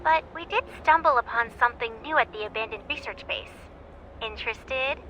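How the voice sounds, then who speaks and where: An actress playing an excitable, chatty young female researcher in a video game. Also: fairly high pitch, a little fast, a little hiss or hum, rough recording. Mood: excited.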